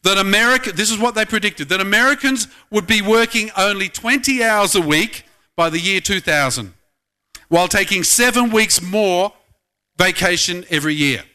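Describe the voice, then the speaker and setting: A middle-aged man preaches in a loose, conversational way and keeps putting questions to his congregation. His voice sits high for a man and has a bright, forceful edge. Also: normal speed, smooth. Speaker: pastor. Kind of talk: sermon.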